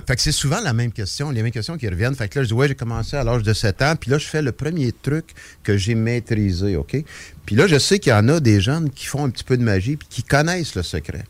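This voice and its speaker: A man's voice: medium pitch, quick pace, no room sound. An older man with a Quebec French accent telling anecdotes in a casual, jokey radio chat, often interrupting himself and wandering off. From Trois-Rivières, Quebec. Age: 50s or older. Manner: rambling, jokey, animated storytelling with self-interruptions. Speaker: guest magician. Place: dry radio studio.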